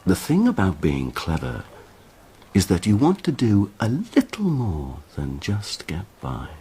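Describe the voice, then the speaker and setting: An actor playing a clever, persuasive and courteous official in a radio drama, with a wry, deliberate manner. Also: male voice, sits low, huge pitch swings, warm tone, slightly rough, full character voice. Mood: wry, courting. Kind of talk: intimate radio drama dialogue.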